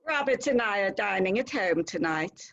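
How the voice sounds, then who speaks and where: A woman's voice: medium pitch, expressive, normal speed, creaky, fairly bright tone, a little hiss or hum. A woman playing an earnest, proper Victorian wife and hostess, formal and polite, in a dramatic reading. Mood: polite, reserved. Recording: slightly dull, lo-fi sound.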